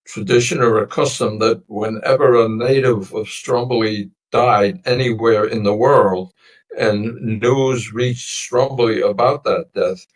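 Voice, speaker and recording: A man in his nineties whose first language was a Sicilian dialect, slowly recounting family history as an interview guest in a meandering, reflective way. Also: medium pitch. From United States. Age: 90s. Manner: meandering, reflective storytelling with many pauses. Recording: voice distant from the mic.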